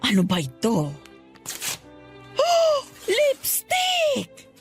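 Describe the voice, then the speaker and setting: A woman's voice: extremely high pitch, wildly swooping pitch, very slow, music in the background, full character voice. A woman playing a fond, mock-scolding middle-aged Filipino mother and wife in a Tagalog radio drama. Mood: delighted.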